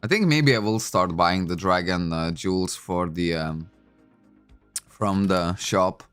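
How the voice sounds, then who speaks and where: A man whose first language is Lithuanian talks in English in a slightly low voice, rambling along with plenty of ums and uhs. He sounds relaxed and conversational, speaking close to a desk microphone in a room at home. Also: measured pace, clear, resonant tone, soft background music. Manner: chatty, thinking aloud with many fillers. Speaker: game streamer. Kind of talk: casual livestream commentary.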